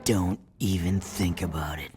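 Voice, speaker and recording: An actor playing a stern, curt, hard-bitten underground resistance commander in a video game cutscene. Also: male voice, sits low, fairly even intonation, a little slow, over music. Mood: irritated.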